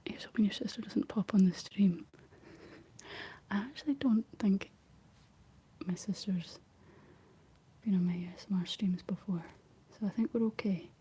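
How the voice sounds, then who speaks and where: A young Scottish woman speaking in a soft, breathy whisper, chatting casually and gently in an ASMR style. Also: mid-range voice, normal speed, pauses often, poor audio. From Scotland. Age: young adult. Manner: gentle, rambling chat while painting. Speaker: ASMR streamer reading and answering live chat. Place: living room.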